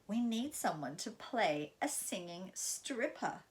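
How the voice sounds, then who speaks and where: A woman's voice: mid-range voice, expressive, slow pace. A woman reading aloud and voicing a friendly male leader of a music theatre group, jovial and chatty. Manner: jovial, laughing.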